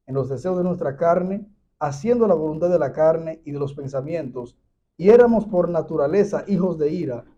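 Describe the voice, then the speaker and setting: Mellow, higher-pitched Dominican man hosting. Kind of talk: scripture reading and interviewing. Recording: dull, muffled lo-fi sound.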